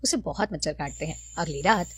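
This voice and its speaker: A woman's voice: low-pitched, a little fast, husky. A woman narrating a scripted cartoon story.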